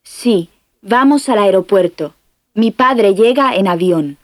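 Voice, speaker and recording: A woman playing a young traveller on her way to the airport, reading clearly in a scripted language-lesson dialogue. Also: medium pitch, animated, slow, no room sound, studio quality. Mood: friendly.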